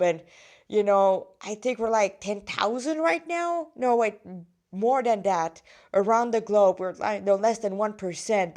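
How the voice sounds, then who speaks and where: Woman haltingly reading her own story aloud. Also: medium pitch, a little mellow. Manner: halting, stumbles and self-corrects. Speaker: fan fiction writer reading own story. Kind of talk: amateur read-aloud with casual asides.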